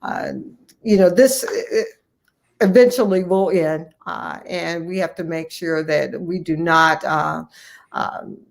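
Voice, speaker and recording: A woman's voice: lowish voice, slow, vocal fry. An American woman speaks as a physician guest in an interview. Her delivery is conversational but earnest and emphatic, and she repeats key phrases to drive them home. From United States, Ohio. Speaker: physician guest and medical association leader.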